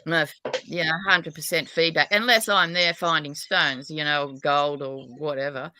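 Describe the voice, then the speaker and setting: A woman's voice: low voice, fairly even intonation, normal speed, pushed and bright, a little hiss or hum. A woman from New South Wales with a broad Australian accent, chatting casually in a candid, self-deprecating, rambling way as a guest. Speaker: guest dowser. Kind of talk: casual chat.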